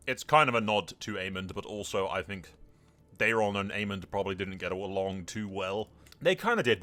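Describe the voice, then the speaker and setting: A man's voice: lowish voice, sharp tone. A man hosting a gaming roleplay playthrough, commenting casually and irreverently with frequent swearing.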